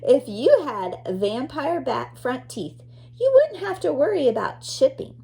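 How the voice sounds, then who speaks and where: A woman's voice: high-pitched, very animated, unhurried, vocal fry, dark tone, low-quality recording. A woman teacher reading a children's nonfiction book aloud expressively, addressing young listeners.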